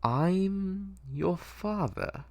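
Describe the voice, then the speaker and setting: Male performer as creepy doctor, manipulative, falsely soothing, slow coaxing delivery, horror story villain. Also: high voice, expressive, gravelly, pitched above the performer's own voice, full character voice. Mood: falsely kind. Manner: coaxing, drawn-out.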